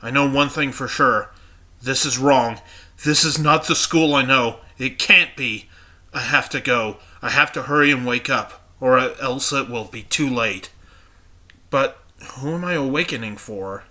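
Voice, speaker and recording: Man reading a haunted young student heroine's monologue, congested, very bright tone. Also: mid-range voice, a little slow. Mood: uneasy. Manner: reading inner monologue aloud. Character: anxious young female student, uneasy and haunted.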